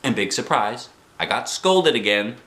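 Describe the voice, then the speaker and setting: A man's voice: medium pitch, big pitch swings, unhurried, bright, forceful tone, echoey room. A man reading a children's book aloud as a dad would, with playful, theatrical expression. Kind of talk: animated storybook narration.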